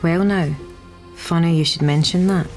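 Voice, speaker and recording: Resistance fighter voiced by a woman, lowish pitch, wry and bantering, war game cutscene, light music. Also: fairly even intonation, moderate pace, darkish tone, lightly acted. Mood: wry, pleased. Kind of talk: cinematic war game dialogue. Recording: music under the voice.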